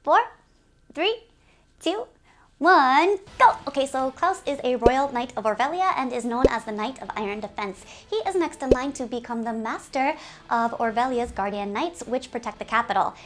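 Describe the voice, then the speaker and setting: A woman hosting a game community video series, speaking to viewers in an animated, giggly, presenter's way. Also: high voice, normal speed, a little hiss or hum. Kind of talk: upbeat scripted video presenting with ad-libbed asides.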